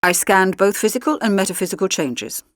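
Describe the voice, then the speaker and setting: A woman's voice: mid-range voice, expressive, no room sound, natural voice. Woman voicing a formal, precise male supercomputer, dry sound. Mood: measured, analytical. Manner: formal, measured, technical phrasing.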